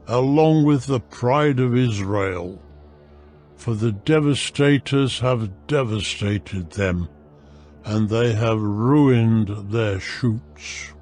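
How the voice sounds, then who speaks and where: A man narrating a dramatized audio Bible in a solemn, deliberate, declamatory manner. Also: mid-range voice, a little mellow. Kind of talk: dramatized scripture reading. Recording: music behind the voice. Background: music.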